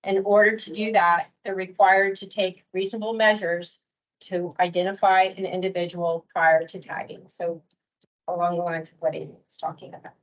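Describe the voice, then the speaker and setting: A woman giving a measured, detailed presentation on permit rules at a workshop. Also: on the low side, level tone, highs cut off. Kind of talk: prepared presentation.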